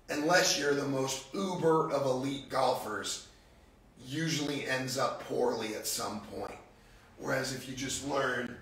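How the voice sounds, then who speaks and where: A middle-aged man with a gravelly, raspy voice, a bit hoarse from a cold, giving a casual, blunt golf lesson on a livestream from an indoor simulator room. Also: medium pitch, a bit bright, echoey room, slight background noise, poor audio. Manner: blunt, casual, drawn-out emphasis. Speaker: golf instructor.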